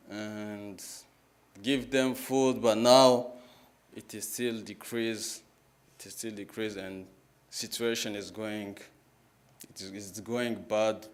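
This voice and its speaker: A man in his twenties with a Congolese accent giving a personal testimony as a public talk, halting and repeating his phrases in plain words. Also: on the low side. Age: 20s. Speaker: guest speaker at a church, sharing testimony.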